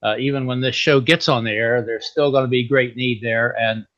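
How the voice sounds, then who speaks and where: A man hosting a podcast, talking in a relaxed, folksy, rambling way. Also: medium pitch, normal speed. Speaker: podcast host. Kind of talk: casual interview chat. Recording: slightly dull lo-fi sound.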